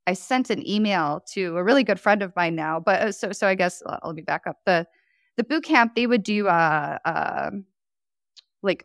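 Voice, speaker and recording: A woman's voice: mid-range voice, a bit bright, smooth, no echo, studio quality. A woman from Chicago telling her story as a podcast guest in a chatty way, with many fillers. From Chicago, United States. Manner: chatty, frequent fillers and laughs. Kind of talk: casual storytelling interview.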